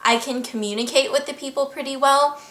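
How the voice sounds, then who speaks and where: A young woman with an American accent talks to her camera at home, answering viewer questions in a chatty, earnest and upbeat way. Her voice sits a little high and sounds crisp and bright. The room around her rings with noticeable echo. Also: fairly even intonation, brisk. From United States. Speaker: vlogger. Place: at home, indoors.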